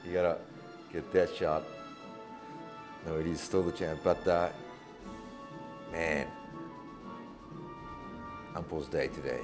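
A man giving live sports commentary with casual banter, in a halting, repetitive way. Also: extremely low pitch, steady tone. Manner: hesitant, halting, repeats himself. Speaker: fight commentator. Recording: loud music behind. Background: loud music.

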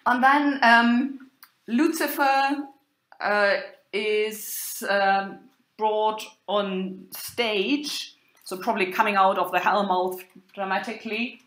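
Slow, halting German-accented woman lecturer. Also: medium pitch, steady tone, a bit bright. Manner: halting, hesitant delivery with many pauses. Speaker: university lecturer in medieval German literature. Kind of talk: informal academic lecture with quoted text. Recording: roomy, echoing sound.

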